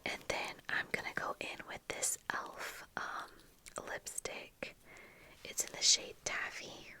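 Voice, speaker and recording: A woman in her thirties whispering softly and breathily in a casual ASMR chat, unhurried and pausing, close to the microphone in a small room. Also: rough recording. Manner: soft, unhurried, pausing while doing makeup. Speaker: ASMR video creator. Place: small dim indoor room with bookshelves. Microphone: close fur-covered microphone on desk, monitored on earphones.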